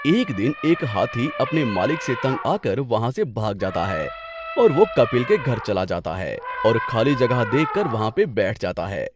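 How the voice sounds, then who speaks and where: A man's voice: medium pitch, a little hiss or hum. A man giving scripted narration of a comic folk tale for a cartoon story video.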